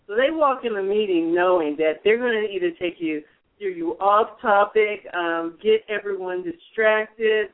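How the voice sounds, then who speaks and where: A man hosting a radio advice show, coaching in a strong, projecting voice with frequent restarts. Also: high-pitched. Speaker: radio show host, mentor. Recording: phone-like narrow band.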